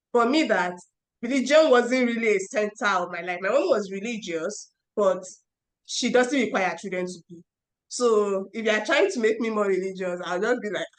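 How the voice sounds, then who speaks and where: A woman's voice: mid-range voice, poor audio. A woman hosting a podcast, asking chatty, rambling questions. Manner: chatty, laughs between questions. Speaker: podcast host. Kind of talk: interview questions, casual chat.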